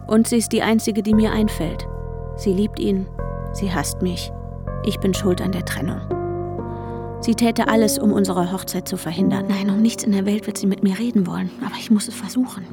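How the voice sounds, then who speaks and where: An actress playing an anxious, controlling woman searching for her missing fiancé in a radio drama, intense and hushed, in short clipped sentences. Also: mid-range voice, steady tone, moderate pace, pauses often, close to an everyday voice. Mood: tense, brooding. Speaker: protagonist and narrator. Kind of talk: radio drama inner monologue and dialogue. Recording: music under the voice. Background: music.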